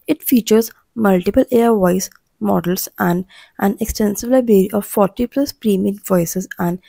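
Female explainer narrator: relaxed mellow tone, measured delivery, dry studio-like sound. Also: mid-range voice, gravelly, no room sound. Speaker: channel narrator.

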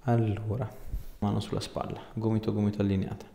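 A man's voice: fairly low pitch, level tone, brisk, creaky, mellow. A man in his thirties working as a chiropractor, explaining things to a patient in a casual, reassuring way. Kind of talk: casual consultation with a patient during treatment. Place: small treatment room, indoors. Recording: echoey small room, background noise and clicks.

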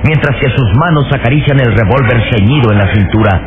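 A man's voice: medium pitch, brisk, heavy background noise. Tinny old-radio male serial narrator.

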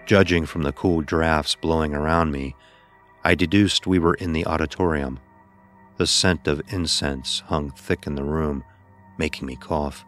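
A man playing a blind son who narrates his dark ordeal in a solemn, literary way, as horror drama. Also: extremely low pitch, fairly even intonation, unhurried. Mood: somber. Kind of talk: scripted first-person horror narration. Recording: voice over background music.

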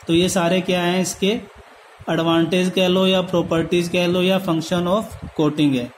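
A man with an Indian accent teaches like a lecturer going through notes. His voice is high and stays very flat, and he rephrases as he goes. There is a light but steady noise behind him. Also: a little slow, low-quality recording. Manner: switches between Hindi and English terms; repeats and rephrases points. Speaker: polytechnic lecturer. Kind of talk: lecture read from handwritten notes, explained step by step.

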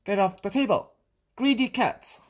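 A woman's voice: mid-range voice, a little slow, natural voice. A woman voicing a kind, trusting little girl in a children's story, simple and earnest. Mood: exclaiming.

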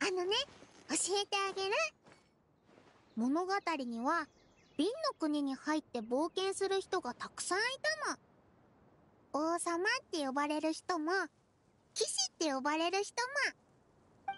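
A woman's voice: extremely high pitch, lively intonation, unhurried, pauses often, noisy background. A woman voicing a cheerful, innocent little girl adventurer in an anime game, with a childlike, eager manner. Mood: curious, excited. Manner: childlike, refers to herself by name. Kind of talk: Japanese anime game voice acting.

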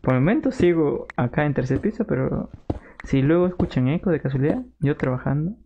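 Young peruvian man, streamer chatter, mellow tone, dull lo-fi sound with faint hiss. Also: a little high, expressive, fairly quick. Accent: Peruvian Spanish. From Peru. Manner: chatty, reads and answers viewer messages, jokey outbursts. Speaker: gaming streamer. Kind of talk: casual live-stream chat while playing. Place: home room.

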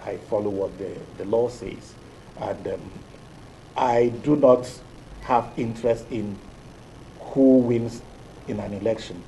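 A middle-aged Nigerian man, an electoral official, answering interview questions in a TV studio in a deliberate, hesitant way with many fillers. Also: mid-range voice, dark tone, slight background noise, poor audio. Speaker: electoral commission official, guest.

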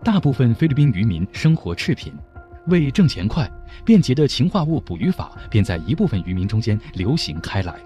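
A man reading documentary narration in a measured, solemn way. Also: mid-range voice, very animated, dark tone, lo-fi sound, soft background music.

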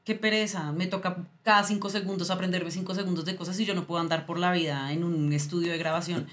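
A woman's voice: lowish voice, fairly even intonation, quick pace. A young woman, a podcast co-host chatting casually and poking fun at herself. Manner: chatty, self-mocking.

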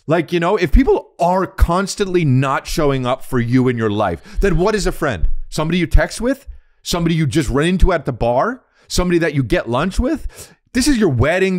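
An American man chats casually and comedically on an advice podcast. His pitch swings widely as he makes emphatic, profane points. He is close on a studio mic with a very dry sound. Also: no room sound. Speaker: podcast co-host. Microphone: close boom-arm podcast mic.

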